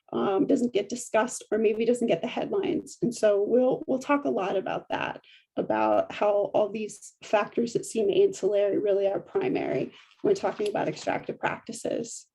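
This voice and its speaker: Husky, mellow-voiced middle-aged woman lecturing. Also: on the high side, low-quality recording. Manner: measured, explanatory with frequent restarts. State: allergy-affected voice. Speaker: professor.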